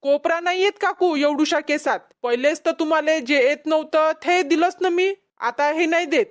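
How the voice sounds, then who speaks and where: A woman's voice: sits high, normal speed, close to an everyday voice. A woman voicing a put-upon village pot peddler in a cartoon comedy, nervous and complaining, with a Vidarbha Marathi accent. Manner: whiny, protesting, meekly deferential. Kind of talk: exaggerated cartoon comedy acting.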